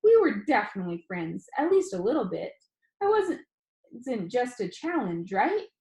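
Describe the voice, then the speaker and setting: A woman reading a novel aloud as expressive storybook narration, telling it in the first person. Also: a little high, moderate pace. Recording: roomy, echoing room sound.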